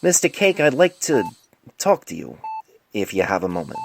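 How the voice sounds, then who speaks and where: A man's voice: lowish voice, wildly swooping pitch, normal speed, gravelly, exaggerated voice. Man voicing a cynical young pony doctor, noisy recording. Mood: stiffly polite. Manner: irritable, sarcastic muttering to himself. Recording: noisy home cell phone recording.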